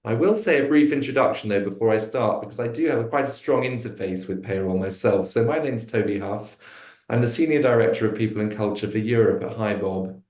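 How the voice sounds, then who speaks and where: Man, webinar host, low voice, lots of ums and restarts, roomy echo. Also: a little fast, darkish tone. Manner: hesitant, many fillers and restarts. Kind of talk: corporate webinar hosting. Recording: echoey room.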